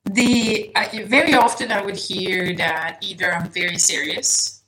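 A woman from Serbia whose native language is Serbian, a podcast guest speaking in a casual interview, soft-spoken and hesitant, restarting often and laughing lightly. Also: mid-range voice, steady tone, measured pace, low-quality recording. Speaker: guest, nutrition coach.

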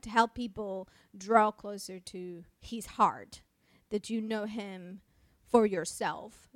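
A woman's voice: mid-range voice, a bit bright, dry recording, clean studio sound. A woman from Mexico with a light Spanish accent, Spanish her first language, chatting as a podcast co-host and pastor, laughing often and speaking with passion. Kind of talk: casual chat.

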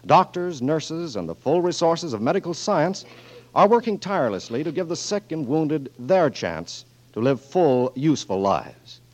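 A man's voice: on the high side, sing-song, a little slow, darkish tone. A male radio announcer reading sponsor copy and closing credits in a formal, polished manner. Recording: dull old radio broadcast with music.

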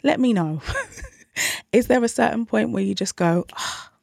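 A woman's voice: fairly high pitch, very animated, unhurried, creaky, dry recording, studio quality. A woman in her thirties hosting a parenting podcast alone, talking in a conversational, reflective way and openly questioning herself. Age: 30s. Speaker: podcast host. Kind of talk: solo parenting podcast talk.

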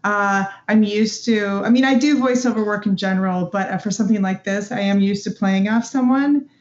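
A woman's voice: medium pitch, a little fast. A young woman who does voice work, chatting casually as an interview guest. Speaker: voice actress guest. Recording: roomy, dull lo-fi call audio.

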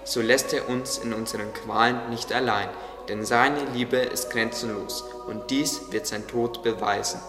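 A man playing a calm, caring gardener in a radio play, speaking with solemn, reassuring patience. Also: mid-range voice, a little slow, few pauses, natural voice. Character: calm, caring male gardener, gently guiding. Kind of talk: German religious audio drama acting. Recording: echoey hall with music underneath.

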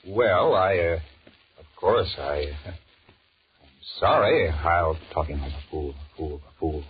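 A man's voice: low-pitched, takes it slowly, breathy edge, full character voice. An actor playing a nervous middle-aged married businessman, blustering and stammering, in 1940s radio drama style on a muffled, noisy old recording. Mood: cornered, stammering. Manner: stammering aloud, muttering anxious repeated thoughts. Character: panicky married businessman, blustering and cowardly, secretly scheming. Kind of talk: 1940s radio horror acting with inner monologue.

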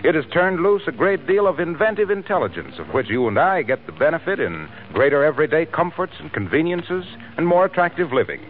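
A man announcing a 1940s radio show and delivering its sponsor pitch in scripted period style. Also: expressive, brisk, few pauses, slight background noise. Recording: old narrow-band radio broadcast.

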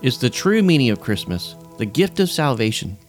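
A man's voice: mid-range voice, very animated, moderate pace, faint music behind, natural voice. A man acting a cheerful, sincere family dad in an audio drama. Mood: warm, sincere.

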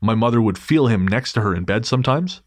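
A man with a low voice talks in a wry, joking way, trailing off and restarting his sentences as he thinks. The sound is dry and close, with no room around it. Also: lively intonation, fast, no echo. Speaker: podcast host. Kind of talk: casual paranormal chat and reading a listener story.

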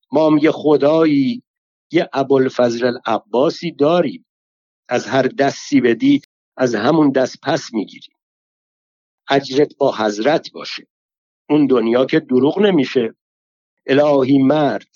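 Scolding older woman pilgrim, as a male reader puts her on, bitter and foul-mouthed, piling up curses and folk sayings, told in a storybook reading. The sound is dry and close, a little dull in the highs. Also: a little mellow, dry recording, close to an everyday voice. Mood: lamenting. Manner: cursing, complaining torrent of folk sayings. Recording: slightly dull lo-fi dry recording.

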